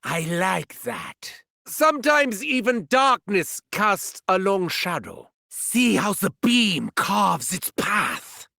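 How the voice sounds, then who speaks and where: An actor playing a gleeful, sadistic mad scientist villain in a video game, theatrical and taunting, with a German accent. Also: male voice, high-pitched, very animated, takes it slowly, creaky, pushed and bright, no echo, studio quality, heavily acted. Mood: sadistic relish. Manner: theatrical, swooping from gleeful shrieks to sinister murmurs. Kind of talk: video game character voice lines.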